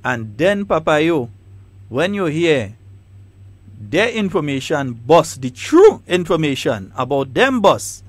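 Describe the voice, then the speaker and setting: Emphatic Trinidadian-Grenadian talk host. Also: male voice, a little high, sing-song, takes it slowly, no echo. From Trinidad and Tobago. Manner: emphatic, repetitive, combative. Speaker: radio talk show host. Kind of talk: talk radio commentary.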